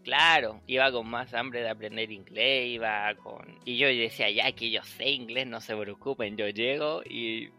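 A chatty young man from Chile hosts a casual podcast, his voice bright and forward. He slips into acted-out dialogue and laughs a lot, with faint music behind him. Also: mid-range voice, steady tone, unhurried, few pauses. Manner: chatty, acts out past conversations, laughs often. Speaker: podcast host. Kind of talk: casual chat.

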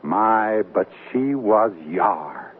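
A man's voice: on the low side, lively intonation, drawn-out words, husky, heavily acted. An actor playing a jealous, folksy married man in an American radio comedy, blustering and sarcastic. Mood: grumbling, sarcastic. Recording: muffled noisy off-air radio recording.

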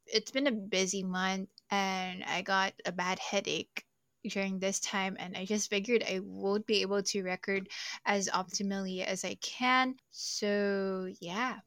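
A woman's voice: medium pitch, fairly even intonation, measured pace, fairly bright tone, no echo. A young adult woman hosting a true crime podcast, narrating casually with jokey, self-deprecating asides. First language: not Portuguese. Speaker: podcast host.

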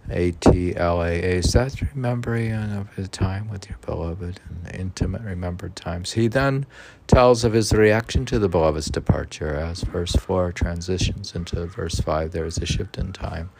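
A man hosting an informal poetry podcast, reading aloud haltingly and stumbling over words, with muttered asides. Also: sits low, creaky, darkish tone, slight background noise.

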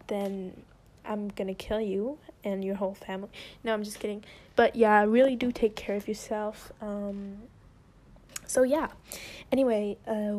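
A woman's voice: medium pitch, dark tone. Rambling teenage girl with a French accent. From France. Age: teens. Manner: rambling, self-interrupting, chatty. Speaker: podcaster. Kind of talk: casual solo podcast. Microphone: phone.

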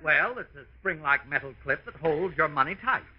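A man's voice: on the high side, lively intonation, normal speed, breathy edge. An actor playing an eager, helpful American department store salesman in an old radio comedy, on a muffled recording. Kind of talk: 1940s-50s American radio comedy acting.

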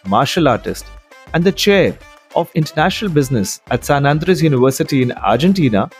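A man's voice: a little high, animated, brisk, husky, soft background music. A man hosting a podcast, introducing and questioning his guest in an effusive, enthusiastic way. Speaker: podcast host. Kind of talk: interview hosting.

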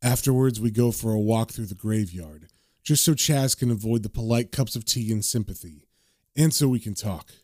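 A man's voice: fairly low pitch, normal speed, a little mellow, dry recording, studio quality, pitched below the performer's own voice, natural voice. A man voicing a world-weary, cynical British man narrating his own story in terse, gloomy mutters. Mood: subdued.

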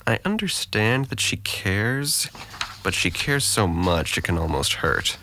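Actor as a low-voiced, sardonic young man. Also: sing-song, measured pace, sharp tone, a little hiss or hum, heavily acted. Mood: detached, weary. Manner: sardonic, deflecting, articulate. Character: Trent, sarcastic depressed young man, guarded and dryly witty. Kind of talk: audio drama dialogue.

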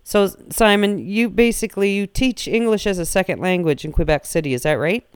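Chatty woman radio host, crisp voice. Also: medium pitch, brisk. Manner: chatty, animated, laughs often. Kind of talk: casual radio chat.

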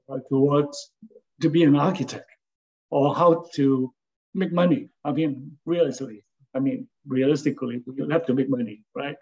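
An older man from Hong Kong, an architect recalling his career in a halting, casual way, often checking in with "okay?". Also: medium pitch, darkish tone, dull audio. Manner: halting, many fillers and restarts, tags with "okay?". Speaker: interviewee, architect and former design lecturer. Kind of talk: casual spoken reminiscence.

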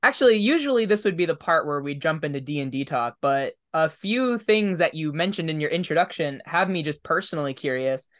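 A man's voice: on the high side, lively intonation, fairly quick, hardly any pauses, a bit bright. A man hosting a podcast in casual interview chat, speaking in a conversational, affirming way. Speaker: podcast host.

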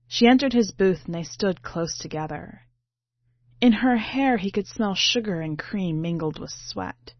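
Low-voiced woman reading in a roomy space. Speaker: podcast editor reading a short story. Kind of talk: literary audiobook-style narration. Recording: muffled, roomy home recording.

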